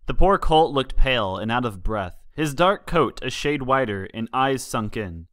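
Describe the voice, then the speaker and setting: A man's voice: mid-range voice, lively intonation, normal speed, a bit bright, dry recording, studio quality. A man reading a story aloud as scripted narration with dramatic delivery. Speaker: fan fiction reader.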